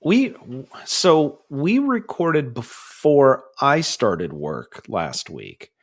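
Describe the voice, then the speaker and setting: A man's voice: medium pitch, wildly swooping pitch, drawn-out words, husky. A man co-hosting a casual podcast, playful and goofy, laughing as he chats. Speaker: podcast co-host. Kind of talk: casual chat.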